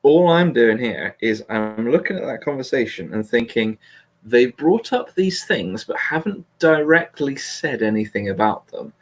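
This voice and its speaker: A man talking casually through politics, in a measured, questioning way that hedges his claims. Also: medium pitch, expressive. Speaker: host discussing a political transcript. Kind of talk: casual conversational political discussion.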